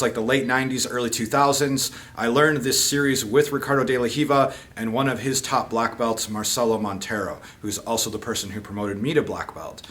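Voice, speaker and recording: A man's voice: medium pitch, a bit bright, slight background noise. A middle-aged man, a jiu-jitsu instructor, telling stories casually and then teaching techniques on the mat in a martial arts gym, often checking in with "okay?" and "right?". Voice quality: chatty, self-deprecating, frequent fillers like "okay?" and "right?".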